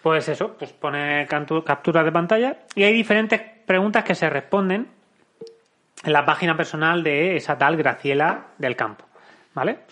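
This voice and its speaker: A man hosts a casual podcast chat with a slightly raised pitch. His voice is very bright. He is playful and steers each segment along. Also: moderate pace. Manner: playful, leads segments, keeps asking ¿vale?. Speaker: podcast host.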